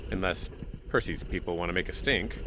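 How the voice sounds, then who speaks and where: A steady small-town sheriff in an audio drama. His low voice is bright and moves a lot, calm and easygoing. A faint hiss is behind him. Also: a little fast.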